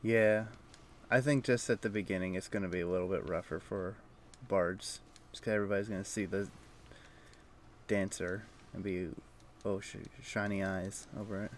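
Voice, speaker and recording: A man streaming a video game, thinking aloud in a low-key, conversational way with casual commentary. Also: steady tone, normal speed, a little hiss or hum. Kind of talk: casual live gameplay commentary and chat.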